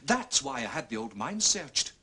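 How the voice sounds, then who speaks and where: An actor playing a blunt, dogged police inspector with a Scottish accent, plain-spoken and emphatic, in a period detective drama. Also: male voice, mid-range voice, very animated, normal speed, pushed and bright, slightly rough, heavily acted. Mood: grave, explanatory.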